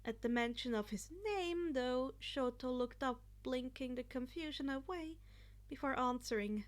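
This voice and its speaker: A woman's voice: high-pitched, measured pace. A woman narrating a piece of fan fiction as a scripted story reading.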